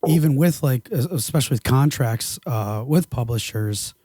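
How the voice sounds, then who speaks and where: A man's voice: mid-range voice, moderate pace, dry recording, clean studio sound. A man hosting an interview podcast, talking loosely with fillers and restarts. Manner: rambling, relaxed, with filler words. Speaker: podcast host. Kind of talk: casual interview talk.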